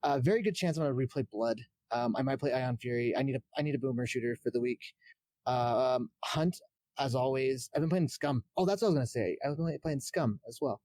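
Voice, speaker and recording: A man's voice: medium pitch, brisk, mellow, dry recording. A man chatting informally as a podcast guest and game streamer. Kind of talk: casual chat.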